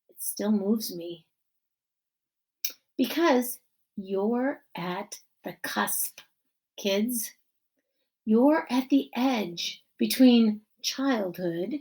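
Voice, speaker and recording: Woman as male principal, storybook graduation speech, slow measured pace, gravelly creak, earnest, formal, emphatic. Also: medium pitch, dark tone. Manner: measured, emphatic public-speaking delivery with deliberate pauses. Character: middle-aged male school principal giving warm, earnest graduation speech. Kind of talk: children's novel read-aloud.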